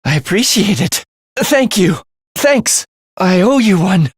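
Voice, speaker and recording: An actor playing a morbid young man in a video game, a soft-spoken killer who is languid, menacing and gleefully excited by death. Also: high-pitched, slow, breathy edge, dry recording, studio quality, heavily acted. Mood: satisfied, languid. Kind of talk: theatrical video game voice acting.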